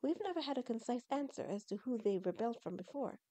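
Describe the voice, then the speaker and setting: A woman's voice: a little high, lively intonation, quick pace, dark tone, voice pushed up. A woman voicing an anxious, scholarly mother creature in an audio story, earnest and emotional. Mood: thoughtful.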